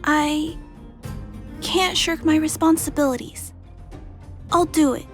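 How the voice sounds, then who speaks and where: A woman voicing a gentle, responsible little girl in a video game, speaking softly, politely and earnestly. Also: high voice, steady tone, slow. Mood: earnest pleading. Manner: soft, polite, earnest, childlike. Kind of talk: video game character dialogue. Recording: faint game music behind the voice. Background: faint game music.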